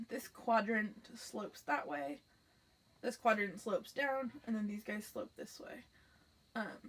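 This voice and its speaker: A woman's voice: fairly high pitch, husky. A young woman hosting a knitting podcast, chatting casually and rambling with lots of fillers, sounding congested and nasal. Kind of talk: casual chat to camera. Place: tiny house, indoors.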